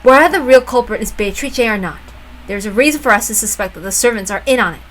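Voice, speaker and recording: A woman's voice: on the high side, fast, a bit bright, soft background music, lightly acted. A woman voicing a shrewd, suspicious mother who gives polite but pointed orders in a story reading. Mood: insistent. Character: shrewd, suspicious mother taking command of her family.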